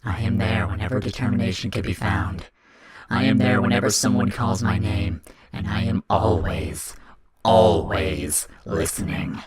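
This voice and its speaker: Otherworldly evil child, played by a man: lowish, deliberate, coldly formal, heavy layered murmuring behind every line. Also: a crowd in the background. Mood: ominous. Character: sinister otherworldly demon child, boy, eerie and coldly formal.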